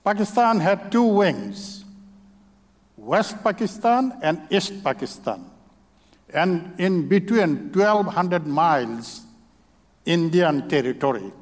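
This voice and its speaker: A man from Bangladesh with a Bangladeshi accent, a native Bengali speaker, preaching as a visiting speaker in church, in short halting phrases. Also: high voice, animated. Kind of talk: church sermon testimony. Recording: long reverb of a church hall.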